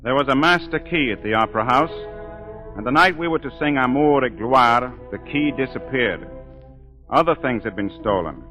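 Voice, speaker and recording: A grave male opera singer tells a dark tale in a forceful, bright voice of middle pitch. His delivery is measured and theatrical, in 1940s radio drama style. Music plays under the vintage broadcast. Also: poor audio.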